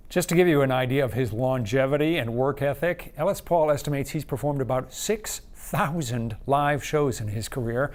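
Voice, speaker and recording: A man working as a TV news interviewer, asking clear, measured questions and reading a short wrap-up. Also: medium pitch.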